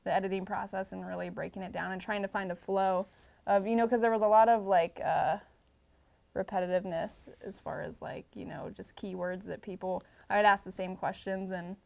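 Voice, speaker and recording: Woman with creaky voice, hesitant interviewee. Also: mid-range voice, fairly even intonation, fairly quick, a little mellow. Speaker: documentary filmmaker being interviewed. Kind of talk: casual interview answers.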